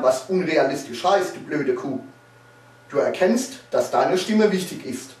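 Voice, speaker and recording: Slow, echoey man reciting poetry, high-pitched. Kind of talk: dramatic poetry recitation, satirical. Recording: roomy echo, distant microphone.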